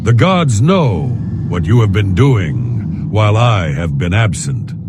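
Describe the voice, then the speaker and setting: A man's voice: fairly low pitch, very animated, takes it slowly, over loud music, exaggerated voice. Man acting a pompous scholar, wildly swooping delivery. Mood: threatening. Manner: pompous, precise, disdainful. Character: pompous, haughty male scholar leading an expedition. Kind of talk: scripted video game character dialogue.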